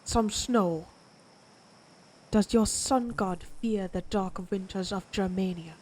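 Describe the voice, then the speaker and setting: Woman acting slow, menacing vampire warrior woman. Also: medium pitch, a little mellow, rough recording. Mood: mocking. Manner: slow, taunting, theatrical, predatory. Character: menacing, proud vampire warrior woman of ancient Germanic tribes. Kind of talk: dramatic audio roleplay acting.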